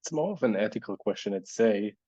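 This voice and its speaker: A man's voice: on the low side, expressive, a little fast, dark tone, breathy edge, no echo, highs cut off. A man whose first language is Hebrew speaks English with a Hebrew accent. He hosts an online Q&A, thinking aloud hesitantly with many fillers. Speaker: event host reading audience questions. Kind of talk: casual online panel Q&A.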